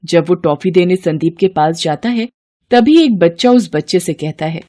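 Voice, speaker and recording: Mellow woman narrating stories. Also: fairly low pitch, moderate pace. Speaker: storyteller, narrator. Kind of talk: scripted story narration.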